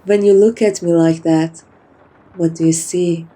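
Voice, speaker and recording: Soft, slow, mellow vampire seductress, acted by a woman. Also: fairly low pitch, fairly even intonation, low-quality recording. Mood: soft, serious. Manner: soft-spoken, teasing, cooing, addresses listener like a pet. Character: kind, dominant, flirtatious female vampire, doting and teasing. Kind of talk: soft-spoken ASMR roleplay.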